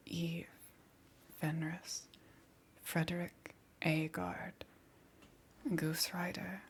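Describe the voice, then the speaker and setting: A woman ASMR creator speaking in a breathy whisper, reading out thanks to supporters. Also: lowish voice.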